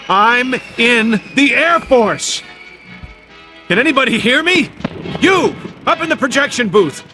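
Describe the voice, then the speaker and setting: An actor playing a lonely, distressed Air Force man with no memory, rambling to himself haltingly and wryly in a radio drama. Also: extremely high pitch, exaggerated voice. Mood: excited, desperate. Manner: rambling to himself, halting, wry joking turning agitated.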